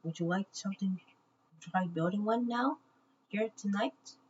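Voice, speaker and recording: A woman voicing a kind, caring talking brain who gently encourages, in a children's storybook, on a muffled, noisy recording. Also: medium pitch, normal speed, lightly acted. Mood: helpful, gentle.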